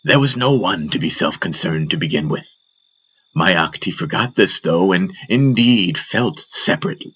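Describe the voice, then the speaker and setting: A man narrating a fantasy audiobook as a dramatic storyteller, solemn and deliberate. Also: low-pitched, animated, pushed and bright, slight background noise, poor audio.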